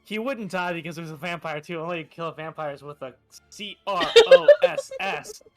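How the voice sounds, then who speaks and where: High-pitched, sweary man reading comically. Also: very animated, moderate pace, soft background music. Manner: sweary, mocking. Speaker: story reader on a group stream. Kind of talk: comic read-aloud with casual banter.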